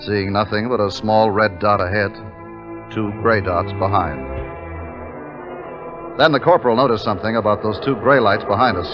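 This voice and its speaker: An actor playing a brisk, commanding army captain in a 1950s radio drama, speaking in a clipped, authoritative way. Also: male voice, on the low side, natural voice. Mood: tense narration. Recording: muffled old radio recording with background music.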